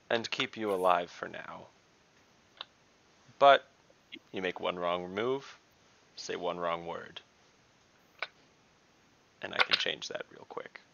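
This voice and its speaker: A young man playing a tabletop game on a video call, speaking slowly and deliberately with fillers. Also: deep voice, creaky, pushed and bright. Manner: slow, deliberate speech with fillers. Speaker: player. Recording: dull video call audio.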